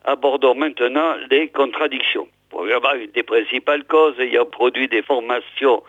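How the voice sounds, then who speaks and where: A hoarse man hosting a regional radio show, hesitantly introducing and reading out old press articles with many fillers and restarts. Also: medium pitch, moderate pace, no echo. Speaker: radio host. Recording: tinny phone-like radio sound, dry.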